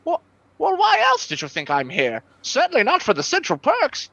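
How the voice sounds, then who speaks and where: A slippery con artist in a comic radio play, acted by a woman. The voice is very high and swings widely in pitch, stammering and wheedling through every excuse. The sound is close and dry. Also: huge pitch swings, moderate pace, fairly bright tone, no room sound, full character voice. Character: slippery, pleading, fast-talking male con artist.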